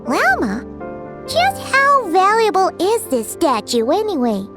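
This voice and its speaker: A woman's voice: extremely high pitch, wildly swooping pitch, a little slow, music in the background, full character voice. A woman voicing a small, bubbly, childlike fairy companion in a video game, curious and exclamatory. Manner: exclamatory, childlike, speaks of self in third person.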